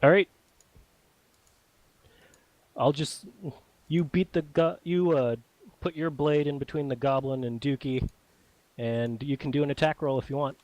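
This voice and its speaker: A man's voice: medium pitch, fairly even intonation, a little slow, long pauses, darkish tone, no room sound. A man with a mid-range voice runs a tabletop roleplaying game. He explains rules and narrates casually and informally. The sound is dry, with little room around it. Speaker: game master.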